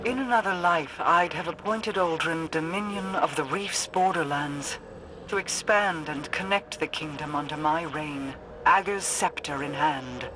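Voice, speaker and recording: An actress playing a regal, calculating queen in a video game, speaking in a stately, composed and deliberate monologue. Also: sits low, noisy background. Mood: regal, regretful. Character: regal, calculating queen reflecting on a lost brother. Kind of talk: dramatic scripted game monologue.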